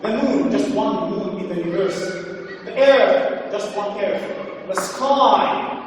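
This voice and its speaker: Male English teacher, high voice, filler-heavy explaining, distant echoey recording with faint hiss. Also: takes it slowly. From Egypt. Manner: repeats phrases, frequent "uh" and "okay?" checks. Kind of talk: recorded grammar lesson.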